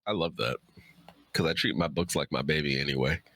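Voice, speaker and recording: Low-pitched man, bright and forceful. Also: brisk. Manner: candid, emphatic, repeats himself. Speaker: author, guest on a live stream. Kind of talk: casual chat.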